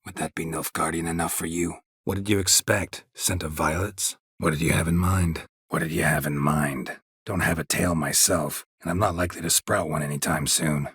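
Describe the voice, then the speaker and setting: Gruff middle-aged monster hunter, very husky rasp, low-pitched, terse, sardonic, stoic video game hero. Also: male voice, moderate pace, hardly any pauses, no room sound, clean studio sound, exaggerated voice. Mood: dry, questioning. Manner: terse, clipped, deadpan. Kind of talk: video game character dialogue.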